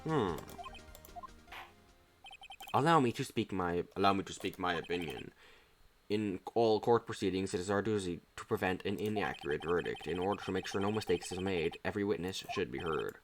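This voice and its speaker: A streamer voicing a formal, deliberate old male judge in a courtroom video game. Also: deep voice, expressive, soft background music, voice pushed down. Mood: authoritative.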